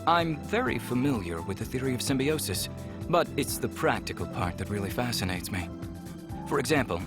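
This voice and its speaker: An actor playing a polite, earnest, travel-weary alien scientist in a visual novel, speaking in a measured, explanatory way. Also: male voice, medium pitch, close to an everyday voice. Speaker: game character.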